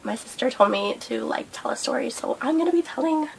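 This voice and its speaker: A young woman vlogging a breakfast mukbang, hoarse and losing her voice from a cold, rambling with lots of fillers. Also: on the high side, animated, normal speed, poor audio. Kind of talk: casual mukbang vlog chat. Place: indoors at home under a hot light.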